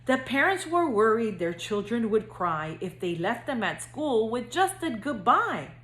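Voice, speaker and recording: Higher-voiced woman reading to young children. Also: very animated, a little slow, echoey room. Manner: gentle, child-directed, singsong storytelling delivery. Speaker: school counselor reading to children. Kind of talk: read-aloud children's storybook.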